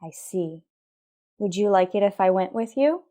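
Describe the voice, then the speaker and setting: A woman playing a worried but composed mother and wife in an old-fashioned radio soap, with proper, deliberate phrasing. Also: medium pitch, expressive, warm tone, close to an everyday voice. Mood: gently coaxing.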